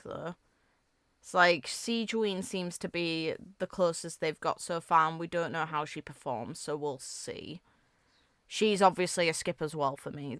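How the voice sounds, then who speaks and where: A woman reacting to video games in a casual, conversational way. Her voice is smooth and a touch low, recorded dry. She muses aloud, weighing her thoughts as she talks. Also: a bit bright, dry recording. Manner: musing, hedging, conversational. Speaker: video game reaction creator.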